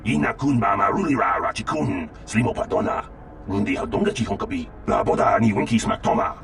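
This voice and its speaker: Gravelly low alien. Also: male voice, a little fast. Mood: gruff, insistent. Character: gruff male alien speaking an invented tongue. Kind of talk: video game character acting. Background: music.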